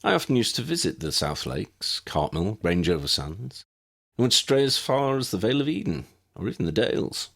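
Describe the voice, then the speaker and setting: A man voicing a learned, courteous character with formal, measured phrasing in an audiobook. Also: on the low side, big pitch swings, gravelly, full character voice. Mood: recollecting. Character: courteous, learned, unhurried man, precise and bookish.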